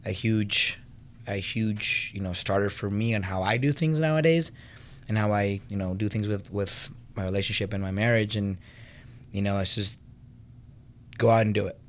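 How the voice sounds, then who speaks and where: Low-voiced man from Venezuela chatting. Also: fairly even intonation, moderate pace, a little hiss or hum. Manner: personable, many fillers. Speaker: guest, fitness business co-owner. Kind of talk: casual interview chat.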